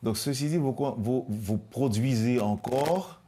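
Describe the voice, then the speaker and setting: A man's voice: medium pitch, slow pace, dark tone, slightly rough. A man with a Haitian accent hosting a broadcast interview in a courteous, formal manner. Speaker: television interview host.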